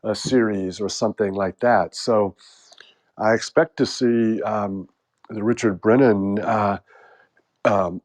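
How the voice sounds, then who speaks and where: A man's voice: on the low side, fairly even intonation, slow, a little mellow. American man hosting a rambling chat. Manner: rambling and joking, with many fillers. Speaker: host. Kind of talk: casual group chat.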